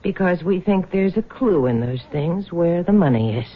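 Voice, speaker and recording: An actress in a radio drama playing a bitter, grasping crook's widow with a biting, sarcastic way of talking. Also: female voice, fairly low pitch, lively intonation, moderate pace, breathy edge. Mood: curt, demanding. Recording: muffled phone-like old radio broadcast.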